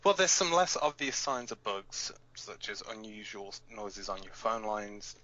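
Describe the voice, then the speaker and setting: A man acts out a practical resistance technician in an audio drama, explaining things frankly and matter-of-factly. His voice sits a little low and has a forceful, bright edge. The recording is slightly dull and lo-fi. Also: measured pace. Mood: instructive. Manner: instructive, matter-of-fact. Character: male resistance expert on bug detection, frank and practical. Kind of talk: scripted audio drama, tutorial delivery.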